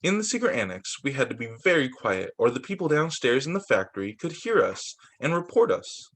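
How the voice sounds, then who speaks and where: Man reading aloud with lively, swooping intonation. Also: mid-range voice, fairly quick. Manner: stumbles over words and repeats phrases, with casual asides. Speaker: teacher reading aloud for students. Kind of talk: children's story time read-aloud.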